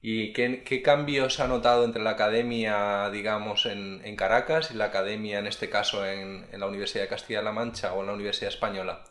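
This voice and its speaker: A man's voice: fairly low pitch, fairly even intonation, a little fast, hardly any pauses, fairly bright tone. A man hosting an interview, probing the guest and summing up his points. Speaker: radio interviewer, host. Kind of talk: interview questions. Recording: roomy echo.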